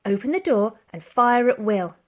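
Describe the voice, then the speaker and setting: A clever, mischievous girl speaks slyly in a storybook reading, as a woman puts her on. Her voice sits a little high and moves around a lot. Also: lively intonation, normal speed, natural voice.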